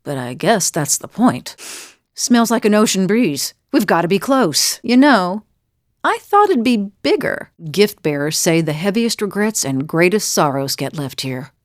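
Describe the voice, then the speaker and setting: A woman's voice: mid-range voice, sing-song, measured pace, dry recording, studio quality. A woman playing a weary, wry middle-aged adventurer in a video game, confiding in a plain-spoken way. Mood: wry, uneasy. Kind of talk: video game character dialogue.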